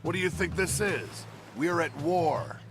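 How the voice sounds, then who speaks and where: An actor playing a middle-aged American army captain, a grizzled veteran with a gravelly voice, terse, blunt and hard-boiled in a dramatic video game. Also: male voice, fairly high pitch, measured pace, lightly acted. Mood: hard defiance. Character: grizzled, aging war veteran captain, blunt and defiant Nazi-killer. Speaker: soldier narrating his war story.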